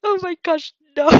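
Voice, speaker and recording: A woman narrating anime fan fiction in an expressive, giggly storytelling manner. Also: extremely high pitch, no echo, muffled recording.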